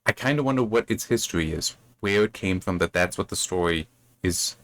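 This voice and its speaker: A man with a fairly low voice talks through his reactions as a reviewer, casual and unscripted. He sounds bemused and rambles, halting and doubling back on his words. The sound is dry and close, with little room around it. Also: animated, fairly bright tone, no echo. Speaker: book reviewer reading and commenting. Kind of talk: casual unscripted commentary on a story.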